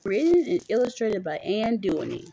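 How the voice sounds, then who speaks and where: A woman's voice: medium pitch, big pitch swings, normal speed, gravelly, warm tone, slight background noise, rough recording. A mother reading a picture book aloud to her young child in a playful, sing-song way, chatting with the child as she goes. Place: small dim bedroom at home.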